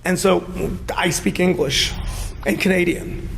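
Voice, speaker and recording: A man in an animated panel conversation, speaking as a guest in an emphatic, declarative and joking way. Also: sits high, a little slow, vocal fry, a little hiss or hum. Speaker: interview guest.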